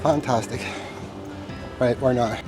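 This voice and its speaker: An Irish man narrates a casual walking tour as he goes, chatty and rambling, with self-deprecating asides. He sounds a little chesty. Music plays clearly underneath his voice. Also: mid-range voice, steady tone, takes it slowly. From Ireland. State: chesty, with a tickly cough. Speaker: travel vlogger. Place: outdoors walking through narrow old-town streets.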